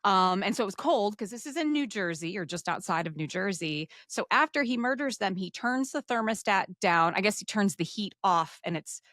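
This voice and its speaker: A woman's voice: mid-range voice, animated, normal speed, hardly any pauses, fairly bright tone, dry recording, studio quality. A woman co-hosting a true crime podcast, telling stories in a casual, informal, darkly joking way. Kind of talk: casual conversational storytelling.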